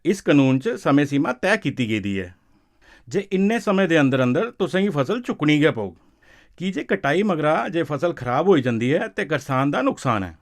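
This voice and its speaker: A man's voice: on the high side, big pitch swings, moderate pace. A man with a Dogri accent explains in a conversational, instructive way for an educational film, often checking that he is understood. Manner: didactic, often seeks confirmation with short check questions. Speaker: expert explaining a farm law to farmers.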